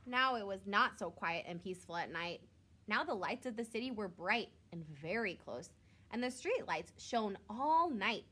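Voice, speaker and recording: A woman reads a children's picture book aloud in the style of a schoolteacher. Her pitch is lively and moves up and down a great deal. She is expressive and pauses for simple asides aimed at young listeners. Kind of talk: storybook read-aloud with short asides.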